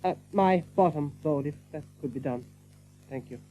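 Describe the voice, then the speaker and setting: A man voicing a timid, nervously polite rabbit prince who stammers and clears his throat. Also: fairly high pitch, normal speed, warm tone, soft background music, close to an everyday voice. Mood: nervous, evasive. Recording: tinny narrow-band audio with hum.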